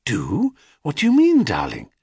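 A man voicing a gentle, doting mother who soothes her son, soft and a bit flustered. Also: mid-range voice, wildly swooping pitch, a little slow, creaky, husky, dry recording, studio quality, heavily acted. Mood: soothing.

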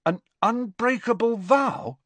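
A man's voice: on the low side, very animated, a little slow, fairly bright tone, dry recording, voice pushed up, heavily acted. A man playing a grumpy, naive teenage boy wizard in a storybook, blunt and grumbling. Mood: earnest.

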